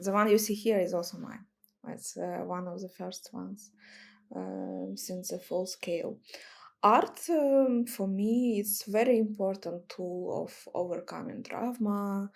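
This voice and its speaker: A woman from Ukraine with a Ukrainian accent, giving reflective, halting interview answers in non-native English as a guest artist. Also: mid-range voice, gravelly.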